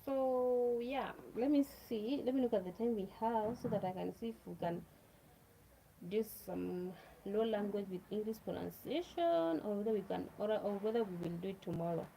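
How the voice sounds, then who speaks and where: A woman's voice: mid-range voice, normal speed, gravelly, mellow, slight background noise, low-quality recording. A Kenyan woman whose first language is Luo, chatting casually to viewers on a livestream, repeating herself and speaking to them directly. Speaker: livestream host.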